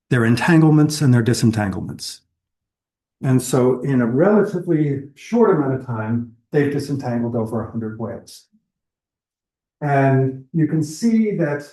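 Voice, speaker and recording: A man's voice: mid-range voice, warm tone, roomy sound, rough recording. A man giving a public lecture in a conversational, explanatory way, listing examples as he goes. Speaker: lecturer on whale entanglement response. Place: lecture hall.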